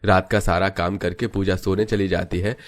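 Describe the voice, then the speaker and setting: A man's voice: deep voice, little pitch movement, normal speed. A man narrating a scripted cartoon story as its storyteller.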